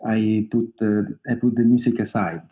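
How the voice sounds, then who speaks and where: A man's voice: lowish voice, steady tone, normal speed, dark tone. A man in his thirties from Italy with an Italian accent, talking over a video call as an interview guest, hesitant with many fillers and frequent laughs. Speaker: guest singer-songwriter.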